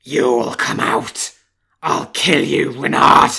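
Brief: man voicing a storybook grey wolf, enraged, gravelly bellowing shouts. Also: medium pitch, fairly even intonation, slow pace, exaggerated voice. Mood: furious. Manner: bellowing threats, drawn out. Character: huge furious grey male wolf. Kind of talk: storybook reading.

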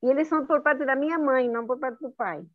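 A woman's voice: high-pitched, fast talker, no room sound. A woman from Uruguay chatting casually on a video call, rambling and laughing at herself. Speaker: online class participant. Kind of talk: casual chat. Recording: dry, tinny video-call line.

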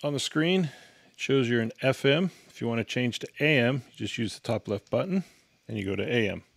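A man's voice: mid-range voice, expressive, a little fast, creaky, breathy edge, no room sound. A man giving an instructional product walkthrough in a matter-of-fact, step-by-step way. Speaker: product reviewer and demonstrator.

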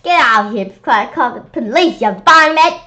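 Woman doing a shrill, furious older lady. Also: lively intonation, brisk, muffled recording. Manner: loud, shrill yelling.

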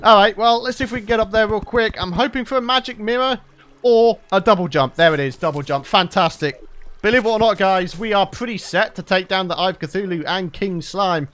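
A man's voice: sits high, fairly quick, a bit bright. A young man, a regular gaming channel host, chatting cheerfully through a let's play and often laughing, his voice tired from recording late at night. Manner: chatty, upbeat, laughs often, talks to viewers.

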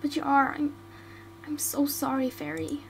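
A woman voicing a calm, blunt female witch healer in an amateur audio drama. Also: high voice, a little slow, creaky, poor audio. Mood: weary.

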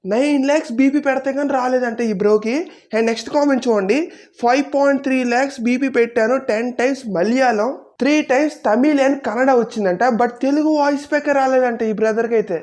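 A woman's voice: sits high, quick pace, darkish tone. A woman hosting a gaming video with casual commentary to viewers.

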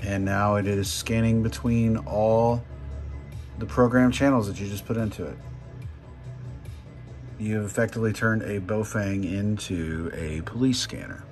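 A man presenting an informal step-by-step how-to, punctuating the steps with short exclamations. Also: lowish voice. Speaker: tutorial presenter. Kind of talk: casual step-by-step how-to narration. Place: indoor room, behind a handheld camera.

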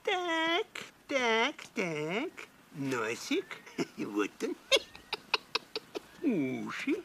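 A man voicing a gloating, mocking police officer in a cartoon, theatrical and gravelly. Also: high voice, wildly swooping pitch, very slow, fairly bright tone, a little hiss or hum, exaggerated voice. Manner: theatrical, chuckling at own jokes. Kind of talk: animated film acting.

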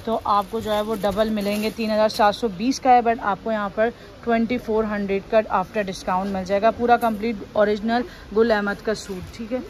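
A woman's voice: medium pitch, flat delivery, moderate pace, few pauses, darkish tone. A woman vlogger, walking through a clothing warehouse and talking upbeat, repeating fillers as she shows the stock. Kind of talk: shopping vlog walkthrough. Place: large busy clothing warehouse indoors. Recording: noisy store ambience.

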